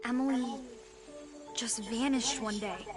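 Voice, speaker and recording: A woman's voice: fairly high pitch, steady tone, a little slow, natural voice. A woman voicing an earnest young game girl. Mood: determined. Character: determined young girl searching for her missing cousin. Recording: game audio with loud music. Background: loud game music.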